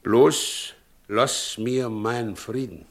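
An actor playing an aggrieved, weary working-class husband in a drama, speaking emphatic colloquial dialect. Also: male voice, medium pitch, steady tone, extremely slow. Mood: weary, hurt. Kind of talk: stage-style radio drama dialogue.

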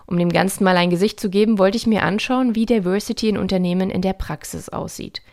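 A woman's voice: medium pitch, gravelly, a little mellow. A woman presents a scripted radio feature, narrating and addressing the listeners directly.

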